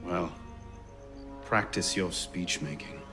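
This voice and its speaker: Game lead: focused young assassin, clipped lines, slightly low pitch, score behind. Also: male voice, slow, music in the background. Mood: determined. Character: determined, terse young male assassin investigator.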